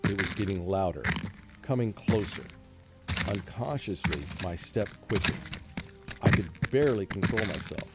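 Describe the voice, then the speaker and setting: A man playing a frightened college professor in a radio horror drama, with a brooding, halting, dramatic delivery. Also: sits low, expressive. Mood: rising terror. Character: frightened haunted male college professor recounting a curse. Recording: music under the voice.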